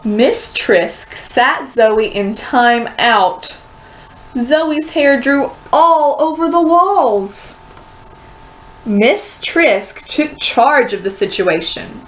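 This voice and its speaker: A woman reading a children's story aloud with expressive, dramatic and deliberate phrasing. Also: high-pitched, low-quality recording. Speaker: storybook reader.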